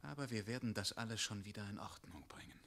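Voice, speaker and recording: Earnest dragon-slaying wanderer, radio drama, lively pitch movement, forceful bright tone, challenging. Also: male voice, fast talker, vocal fry, full character voice. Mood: earnest, probing. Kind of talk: German radio play acting.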